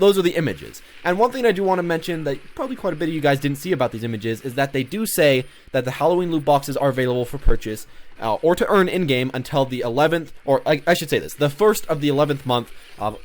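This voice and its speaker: Fast-talking man rambling casually. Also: on the high side. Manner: rambling, repeats himself, peppers talk with "you know". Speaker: gaming video host. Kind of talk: casual unscripted gaming news commentary.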